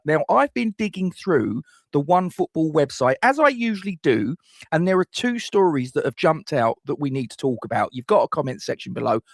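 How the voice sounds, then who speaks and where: A man's voice: on the high side, no room sound, clean studio sound. A middle-aged man hosts a football fan channel, giving scripted transfer rumour commentary with wry, self-mocking jokes.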